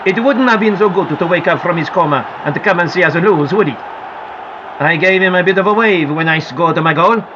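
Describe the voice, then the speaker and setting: A cheerful, proud male football star from a storybook, speaking in a lower, relaxed voice, as a woman reader puts him on. He sounds easy-going and pleased with himself. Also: a little fast, muffled recording, background noise, lightly acted.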